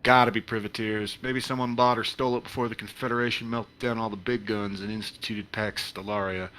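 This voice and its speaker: A man's voice: fairly low pitch, level tone, a little fast, sharp tone, slight background noise. A man playing an amiable, practical starship engineer, conversational in a sci-fi comedy play. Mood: intrigued.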